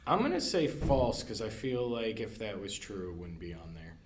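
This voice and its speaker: Hesitant low-voiced man fumbling trivia. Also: big pitch swings, normal speed. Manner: hesitant, searching for words, self-deprecating laughs. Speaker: podcast guest, library staff. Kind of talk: casual quiz chat. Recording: roomy echo with faint background hiss.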